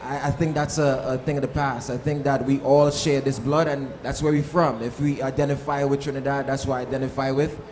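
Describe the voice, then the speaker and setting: A man's voice: medium pitch, little pitch movement, a little fast, hardly any pauses, a little mellow. A man from Harlem, a soca artist, answering casually as part of a panel. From Harlem, New York. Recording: echoey room, faint background noise.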